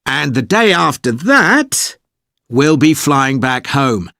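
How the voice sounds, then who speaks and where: A man playing an organised, courteous tour leader, giving a measured, clearly explained scripted briefing for a listening test. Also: medium pitch, big pitch swings, pushed and bright, dry recording, studio quality, full character voice. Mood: polite, informative. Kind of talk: scripted informational monologue for an English listening test.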